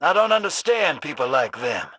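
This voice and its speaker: A man playing a cynical, irritable rogue gambler in a video game, with a gravelly rasp and a sardonic, slangy drawl. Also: fairly high pitch, big pitch swings, moderate pace, bright, forceful tone, dry recording, full character voice. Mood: sardonic, wary. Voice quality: raspy, gravelly. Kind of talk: dramatic video game dialogue.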